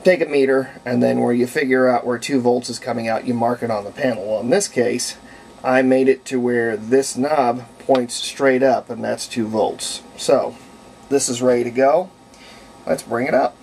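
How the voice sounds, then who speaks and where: A man's voice: medium pitch, fairly even intonation, normal speed, gravelly, darkish tone, slight background noise, rough recording. Folksy American man, casual hobbyist demo. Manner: folksy, colloquial, thinking aloud. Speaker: hobbyist demonstrating homemade radio. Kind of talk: casual hands-on demonstration.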